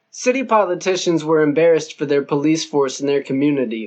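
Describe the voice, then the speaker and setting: A man's voice: high-pitched, muffled recording. Man narrating a novel, dry and wry. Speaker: audiobook narrator.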